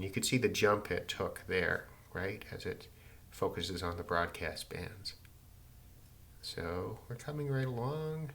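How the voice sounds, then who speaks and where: A man's voice: on the low side, gravelly, a bit bright. A man giving a casual, unscripted demonstration, matter-of-fact and thinking aloud as he goes. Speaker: radio hobbyist demonstrating a receiver. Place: indoors at home in a city.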